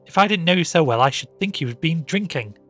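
A man voicing a peremptory, indignant middle-aged housewife in a storybook reading, sharp, commanding and scolding. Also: medium pitch, fast, faint music behind, close to an everyday voice. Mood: impatient.

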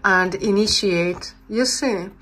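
A woman reads tarot cards at a table indoors, speaking off-camera. She talks warmly and reassuringly, in a mid-range voice, and pauses to search for English words. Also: medium pitch. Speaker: tarot reader. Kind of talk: channeled tarot reading.

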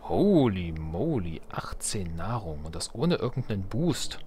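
Man, lowish voice, casual gaming commentary, thinking aloud, noticeable game noise behind. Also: sing-song, measured pace, dark tone. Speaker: let's play host.

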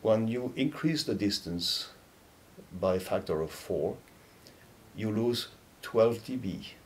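A man in his fifties giving a measured, halting explanatory product talk to camera, pausing between phrases. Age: 50s. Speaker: loudspeaker company presenter. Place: dark indoor studio or demo room, seated. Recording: low rumbling background noise.

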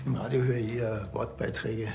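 Schauspieler als trockener bayerischer Polizist. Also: male voice, mid-range voice, fairly quick, vocal fry, natural voice. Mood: deadpan. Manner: deadpan, terse. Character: dry, sardonic male Bavarian policeman.

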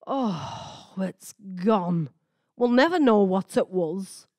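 A woman's voice: medium pitch, animated, slow pace, darkish tone, no echo. A woman acting a nagging, restless older wife on a car outing in a radio play. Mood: excited, wondering. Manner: complaining, exclamatory. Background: car and vehicle sounds.

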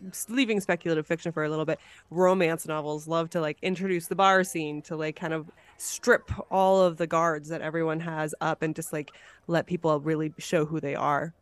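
A woman's voice: deep voice, steady tone, a little fast, clean tone, dry recording. A woman hosting a podcast, discussing ideas in a casual conversational style. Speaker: podcast host.

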